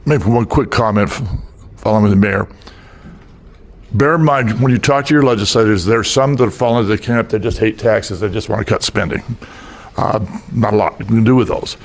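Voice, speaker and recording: Man explaining with a gravelly voice. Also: on the low side. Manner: measured, explanatory. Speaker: meeting participant. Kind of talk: meeting comment.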